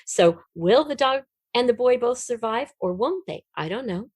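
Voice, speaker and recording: A woman's voice: a little high, animated, moderate pace, darkish tone, slightly rough, no echo, clean studio sound. A woman speaking as an interview guest and writer, explaining in a conversational storytelling way and voicing questions and thoughts aloud. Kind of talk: animated conversational interview, explaining own method.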